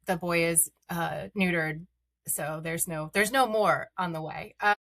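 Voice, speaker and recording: A woman's voice: on the low side, steady tone, measured pace, a bit bright. A middle-aged woman, a guest on a podcast, talking casually and chattily with plenty of ums and uhs. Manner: chatty, many "um" and "uh" fillers. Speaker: podcast guest, content creator.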